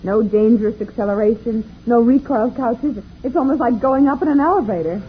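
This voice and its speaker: A woman's voice: on the high side, fairly quick, natural voice. An actress playing a curious young female spaceship passenger in an old radio serial. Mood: curious, marvelling. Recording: tinny old radio recording, light hiss.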